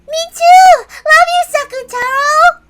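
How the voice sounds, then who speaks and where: An innocent, affectionate little girl with a very high, bright voice. She gushes like a child, eager and emphatic, in a visual novel read aloud over music. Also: takes it slowly, full character voice. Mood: gushing affection.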